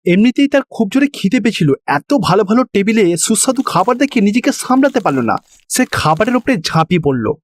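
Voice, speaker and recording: High-pitched, animated Bengali male storyteller. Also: fairly quick, a little hiss or hum. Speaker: narrator. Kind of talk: scripted cartoon story narration.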